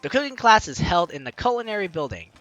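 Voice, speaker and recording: A woman's voice: fairly low pitch, very animated, fast, a bit bright, lo-fi sound, soft background music. A young woman hosting a let's play, reading game text aloud theatrically with playful, chatty asides. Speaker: gaming YouTuber, host.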